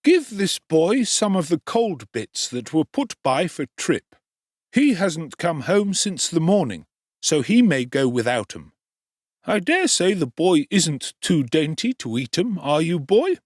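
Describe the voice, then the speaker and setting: A man's voice: a little high, big pitch swings, a little slow, dry recording, clean studio sound, pitched above the performer's own voice. A man doing an oily, deferential undertaker. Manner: deferential, ingratiating. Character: ingratiating middle-aged male undertaker, deferential to his wife.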